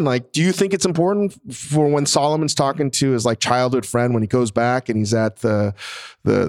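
A man's voice: mid-range voice, gravelly. A man co-hosting a podcast, chatting casually about a TV show, rambling with frequent restarts and swearing. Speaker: podcast co-host.

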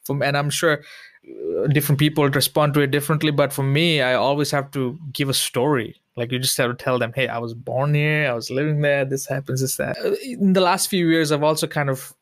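An earnest man from Sri Lanka reflecting on his life as a podcast guest, circling back on phrases to stress them. His voice sits at an ordinary pitch and is recorded dry and close, with no room echo. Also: fairly quick. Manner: earnest, searching, repeats phrases for emphasis. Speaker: interview guest. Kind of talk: personal reflective conversation.

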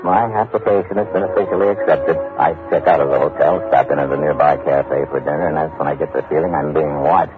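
An actor playing a tough, wry American undercover investigator in a 1950s-style radio drama. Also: male voice, a bass voice, fast talker, hardly any pauses. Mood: intent, brisk. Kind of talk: 1950s radio drama acting with first-person narration. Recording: tinny old radio broadcast, music behind.

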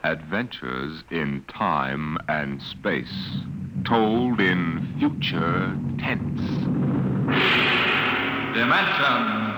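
Very slow, deep radio announcer man. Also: lively intonation, heavy background noise. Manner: portentous, drawn-out delivery. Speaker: series announcer. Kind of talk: dramatic 1950s radio announcing. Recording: vintage radio recording with loud music.

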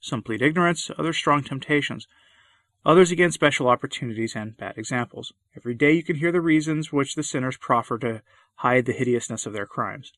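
A man's voice: mid-range voice, a little fast, a bit bright, no room sound. A man reading a sermon aloud in a solemn, impassioned, old-fashioned declamatory style. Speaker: preacher.

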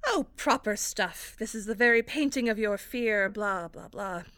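A woman's voice: mid-range voice, normal speed, fairly bright tone, no room sound, natural voice. A woman playing a stern, demanding theater director with a formal, clipped manner, in an audio drama. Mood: brisk, coaching. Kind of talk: audio fiction acting.

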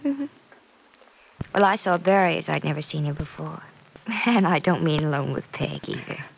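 An actress playing a troubled, lovestruck young daughter in a classic radio soap, earnest and wistful, speaking in hesitant, halting phrases. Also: female voice, deep voice, lively intonation, creaky, slight background noise, poor audio. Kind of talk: mid-century radio soap acting.

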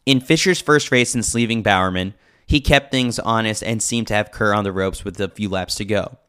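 A man's voice: on the low side, normal speed, a bit bright, smooth. A man reading a scripted sports newsletter with a lightly wry, joking manner. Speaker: newsletter reader, podcast host.

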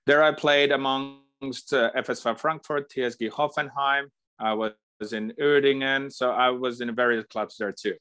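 A middle-aged man with a Finnish accent talks through his answers in brief, matter-of-fact phrases. His first language is Finnish, and his pitch sits in an ordinary middle range for a man. The recording is close and dry. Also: fairly even intonation, moderate pace, hardly any pauses, sharp tone, clear, resonant tone, no room sound. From Finland. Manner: plain, matter-of-fact, short listing phrases. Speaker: soccer coach and guest. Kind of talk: casual interview answers.

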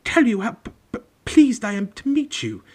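A man's voice: high-pitched, wildly swooping pitch, normal speed, pitched above the performer's own voice, exaggerated voice. A man voicing a timid, frightened young professor with a heavy stammer, in a storybook reading. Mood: nervous, stammering. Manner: hesitant, stuttering over consonants.

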